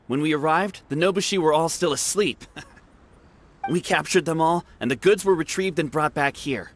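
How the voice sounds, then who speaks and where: A man's voice: a little high, normal speed, slight background noise, natural voice. An actor playing a respectful, earnest male subordinate reporting to his leader in a video game. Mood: pleased, grateful. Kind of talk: video game character dialogue.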